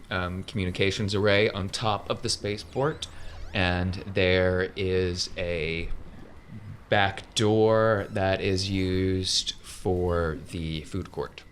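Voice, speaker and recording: A man's voice: low voice, fairly even intonation, takes it slowly, a bit bright, a little hiss or hum. A man casually narrating an improvised tabletop game as game master, explaining things conversationally.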